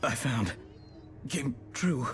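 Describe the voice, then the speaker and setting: Halting, slow, terrified wounded man. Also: medium pitch, lively intonation, fairly bright tone, faint music behind. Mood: terrified, pained. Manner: halting, broken phrases. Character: terrified, gravely wounded man in a horror game.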